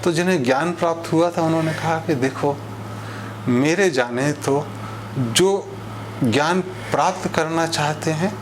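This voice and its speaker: A man delivering an expository monologue in a didactic, deliberate way, using rhetorical repetition. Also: a little high, background noise. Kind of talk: expository monologue on social issues with parables.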